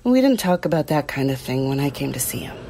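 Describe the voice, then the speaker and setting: An actress playing a plain-spoken, quietly loyal working woman, subdued and reflective, in a documentary-style audio drama interview. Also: a bass voice, very animated, quick pace, very noisy, full character voice.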